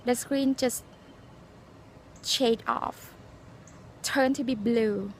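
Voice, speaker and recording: Forties Thai woman with a Thai accent, English her second language; high, earnest, halting vlog delivery. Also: a little hiss or hum. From Thailand. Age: 40s. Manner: earnest, halting, frequent fillers and restarts. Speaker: nurse sharing exam experience. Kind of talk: casual personal vlog, storytelling and advice.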